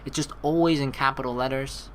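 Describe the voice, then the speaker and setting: A woman's voice: extremely low pitch, fairly even intonation, a little fast, a little hiss or hum. A young woman reading a game aloud as a streamer, adding chatty, casual asides as she goes. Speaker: let's play streamer. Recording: faint game music behind.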